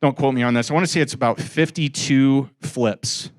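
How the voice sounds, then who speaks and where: A man's voice: mid-range voice, normal speed. Joking man in his forties addressing a room. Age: 40s. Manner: joking, chatty, teases listeners in asides. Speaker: guest speaker at an investor meetup. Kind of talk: informal talk to a live audience. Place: room with a live audience.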